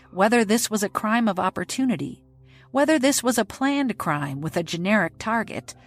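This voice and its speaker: A woman hosting a podcast, reading scripted true-crime narration in a measured, even way. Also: mid-range voice. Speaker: podcast host.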